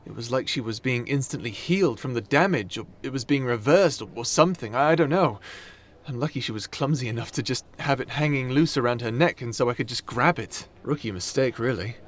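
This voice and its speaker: A man's voice: medium pitch, brisk, natural voice. A man playing an anxious, earnest soldier in a fantasy audio roleplay, talking in an urgent, faltering way. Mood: shaken.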